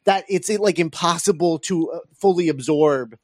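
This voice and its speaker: Male podcast host, slightly higher register, earnest and emphatic in casual film chat, dry recording. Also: normal speed, darkish tone, no echo.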